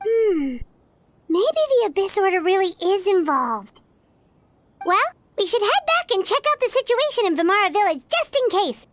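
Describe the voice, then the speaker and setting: A woman voicing a tiny, chirpy, inquisitive childlike fairy companion in a video game, with a squeaky, expressive delivery. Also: moderate pace, no echo, heavily acted. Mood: thoughtful. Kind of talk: cartoonish video game voice acting.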